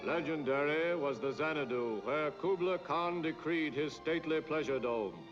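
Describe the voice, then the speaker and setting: Actor as pompous, high-pitched 1940s newsreel man. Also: normal speed, close to an everyday voice. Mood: grand, solemn. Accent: American. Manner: booming, pompous, portentous declamation. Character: pompous newsreel announcer, grandiose and solemn. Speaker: newsreel narrator. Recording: dull 1940s film soundtrack, loud music. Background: loud orchestral music.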